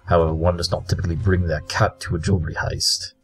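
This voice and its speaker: Man playing a deep-voiced, blunt, precise consulting detective. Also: little pitch movement, fast, close to an everyday voice. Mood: focused, clipped. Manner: precise, formal, deductive, matter-of-fact. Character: brilliant, blunt, formal pony consulting detective. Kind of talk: audio drama acting.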